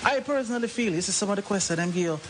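A man's voice: high voice, lively intonation, racing. A man with a Jamaican accent interviewing a musician for radio, probing and often restarting his questions. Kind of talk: casual interview chat. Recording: noisy old interview tape.

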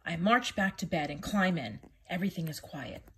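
A woman reading a children's picture book aloud in an expressive teacher's manner, drawing out the sound words. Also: fairly low pitch, normal speed, noisy background. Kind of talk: animated children's storybook reading.

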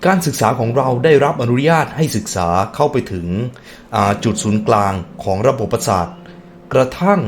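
A man's voice: medium pitch, lively intonation, moderate pace, a little hiss or hum. A man hosting a reaction video, reading text aloud and breaking off into casual musing remarks and hums.

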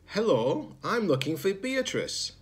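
A man's voice: high voice, very animated, a little slow, husky, pitched above the performer's own voice, full character voice. A man voicing an innocent, curious young robot child in a storybook, earnest and questioning. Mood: earnest, hopeful.

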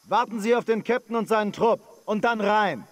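A man playing a gruff, bossy veteran Marine sergeant in a video game, barking orders with a commanding manner. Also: high-pitched, moderate pace, close to an everyday voice. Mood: urgent, commanding. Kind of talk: dubbed video game cutscene acting.

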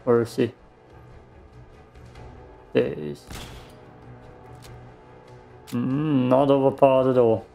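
A man's voice: medium pitch, steady tone, slow, vocal fry, long pauses, warm tone, faint music behind. A man giving casual gameplay commentary, muttering to himself and given to swearing. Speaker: let's play streamer.

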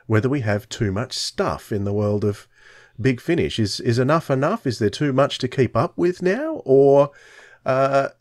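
A middle-aged man, hosting a casual podcast chat in halting, hesitant phrases. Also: mid-range voice, expressive, dry recording, studio quality. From Australia. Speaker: podcast host. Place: indoors on a video call, close dry sound.

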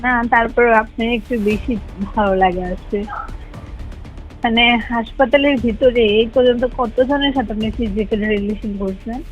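A woman's voice: on the high side, steady tone, measured pace. A woman with a Bangladeshi accent talking casually in a recorded private phone conversation. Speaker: hospital cleaner. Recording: dull lo-fi recorded phone call. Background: music.

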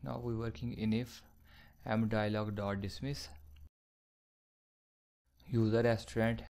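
A man teaching programming in a screencast, slowly dictating code step by step with fillers. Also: on the low side, little pitch movement, darkish tone. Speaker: programming tutor. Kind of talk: screencast coding tutorial.

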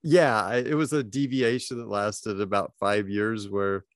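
A middle-aged man telling fishing stories in a slow, reflective way with frequent pauses, speaking from a home office over a video call with earbuds. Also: on the low side, steady tone, dry recording, studio quality. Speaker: podcast guest, fly fishing writer. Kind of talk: casual storytelling. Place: home office with bookshelves, indoors. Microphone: wired earbuds, video call.

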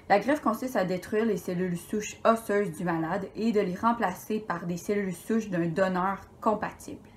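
A young woman student presents her science project straight into a webcam at home. She speaks earnestly, with some fillers. A faint hiss runs under her voice. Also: medium pitch. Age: young adult. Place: home room. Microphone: webcam close up.

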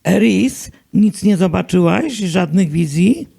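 Man playing sardonic deity, amateur radio play, heavily creaky, dark husky tone, slow, level-headed. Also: sits high, expressive, full character voice. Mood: dry, commanding.

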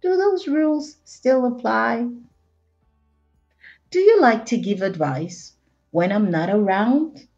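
A woman's voice: on the high side, animated, takes it slowly, long pauses, darkish tone, dull audio, soft background music. A woman voicing a curious, suspicious young child from a picture book, in a dramatic, wondering manner. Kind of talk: picture-book character read aloud.

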